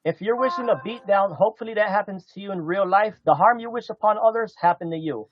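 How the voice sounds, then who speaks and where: A man's voice: sits high, quick pace, no echo. A man arguing insistently as a livestream panel guest, repeating his phrases and talking over others, with dull lo-fi audio. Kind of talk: casual heated argument.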